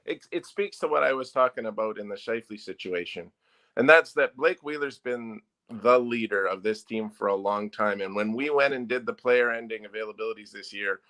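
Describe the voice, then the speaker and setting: A man who is a newspaper sports editor, guesting on a sports talk show. His voice is bright and forceful, and he states his opinions firmly and emphatically. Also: lowish voice, a little fast.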